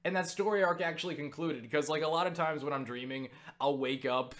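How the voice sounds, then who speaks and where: A young man casually recounting a story for viewers, excitable and full of swearing and filler words. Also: on the high side, fairly quick. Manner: excitable, swears freely, lots of fillers and laughs. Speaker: YouTuber answering viewer questions.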